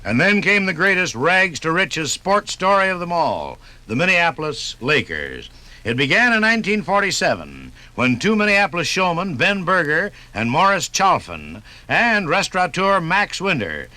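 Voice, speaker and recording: A man narrates as a 1950s radio host. His voice is sharp and forceful with lively pitch movement, recorded dry and delivered from a script. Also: no room sound.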